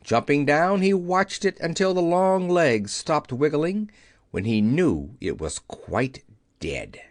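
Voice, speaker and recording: A man's voice: on the high side, very animated, slow pace, no echo, studio quality. A man narrating a children's audiobook, telling the story as a scripted reading.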